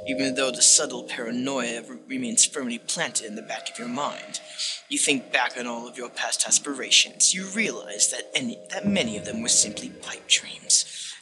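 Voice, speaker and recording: A man voicing a sinister, contemptuous disembodied game narrator, delivered in a condescending, theatrical and deliberate way. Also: medium pitch, lightly acted, poor audio. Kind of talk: dramatic reading of a text-based game.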